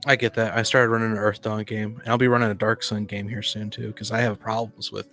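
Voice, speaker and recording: A man co-hosting a casual discussion of tabletop games, acting out imagined quotes in an animated way. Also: fairly low pitch, brisk, faint music behind. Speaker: podcast co-host and game master.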